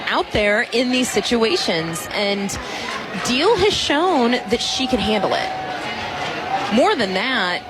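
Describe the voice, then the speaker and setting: A woman giving conversational live color analysis on a softball radio broadcast. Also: on the high side, very animated, slow, low-quality recording. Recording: loud crowd and music behind broadcast. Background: ballpark crowd and music.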